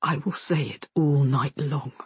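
A woman voicing a gentle, protective, remorseful young male soldier in a low, breathy hush for an audiobook. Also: fairly even intonation, a little slow, no echo, full character voice.

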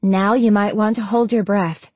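A woman voicing an eager young female dragon scientist in an audiobook, chattering in an excited, inquisitive, rambling way. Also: mid-range voice, normal speed, breathy edge, dry recording, pitched above the performer's own voice, close to an everyday voice. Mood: careful.

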